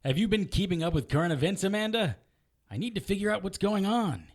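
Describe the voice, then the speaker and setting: A man's voice: high-pitched, big pitch swings, a little fast, exaggerated voice. A man playing a stubborn, wounded supernatural detective in an audio drama, wry and defensive in manner. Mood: insistent. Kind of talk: naturalistic audio drama acting.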